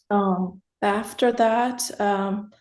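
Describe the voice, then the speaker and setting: A woman in her fifties answering as an interview guest, hesitant with many pauses and fillers. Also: medium pitch, mellow, reverberant.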